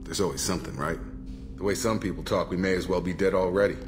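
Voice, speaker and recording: A world-weary male alien soldier, voiced by a man in a game. He speaks in a deep voice with wry, easygoing dryness while music plays clearly behind him. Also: steady tone, fast talker, lightly acted. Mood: relaxed, wry. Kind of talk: video game character acting.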